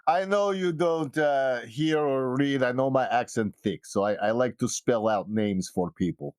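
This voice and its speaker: A man voicing a polite fixer in a tabletop game, speaking formally and hesitantly in measured phrases. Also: medium pitch, darkish tone, clear, resonant tone, no room sound, natural voice. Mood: measured, courteous. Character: polite, thick-accented male fixer who spells out names.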